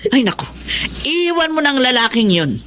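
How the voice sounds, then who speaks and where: Animated, stern middle-aged aunt. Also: female voice, high-pitched, normal speed, background noise. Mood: stern, urging. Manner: indignant, exclaiming. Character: stern, protective aunt who raised her niece. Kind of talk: radio drama acting.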